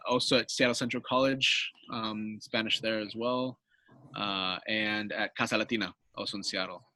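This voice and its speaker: A man who grew up in Washington, a Spanish teacher and guest, talking in a friendly, halting way with easy laughs over a video call. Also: on the low side, steady tone, pushed and bright, slight background noise. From born in Colombia, raised in Washington. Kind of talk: casual interview talk.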